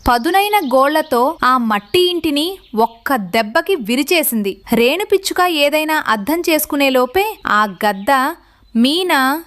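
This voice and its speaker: A woman reads a scripted children's story as narrator. Her voice is high-pitched. She tells it with dramatic storytelling delivery. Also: a bit bright.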